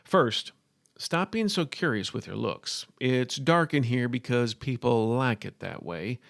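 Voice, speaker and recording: A storybook gunslinger, acted by a male narrator, terse and guarded. He has a creaky edge and talks in flat, curt phrases with gruff protectiveness, recorded very dry. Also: medium pitch, measured pace, no echo. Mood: exasperated. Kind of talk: storybook character voice.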